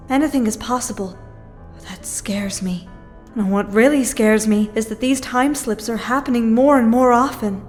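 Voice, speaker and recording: A woman playing a troubled, gentle young telepath in an audio drama, speaking earnestly and introspectively. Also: on the high side, close to an everyday voice.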